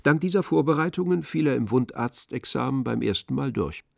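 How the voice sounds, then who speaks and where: A man's voice: medium pitch, animated, brisk, vocal fry, no room sound, studio quality. A man reading literary narration as the storyteller of a radio play.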